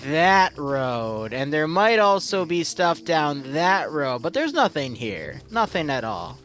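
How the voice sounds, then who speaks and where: A man's voice: fairly high pitch, very animated, slow pace, fairly bright tone, heavily acted. A man doing a nervous, evasive goat shepherd. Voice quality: put-on Nordic-sounding accent. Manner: stammering, overly eager denials. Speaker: game master. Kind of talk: in-character game roleplay.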